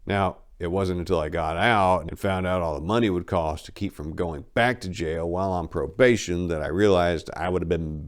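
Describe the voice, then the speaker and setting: Male storyteller, low-pitched, for dry, wry first-person narration with a casual, conversational host feel. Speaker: host reading an autobiography aloud. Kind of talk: dramatic first-person audiobook reading with casual asides.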